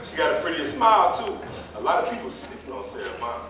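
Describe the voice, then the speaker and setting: An actor playing a stubborn, teasing cab driver, conversational and contrary in a staged play reading. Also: male voice, fairly high pitch, very animated, fast talker, a bit bright, a little hiss or hum. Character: stubborn, teasing jitney driver debating women's looks. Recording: echoey hall, distant microphone.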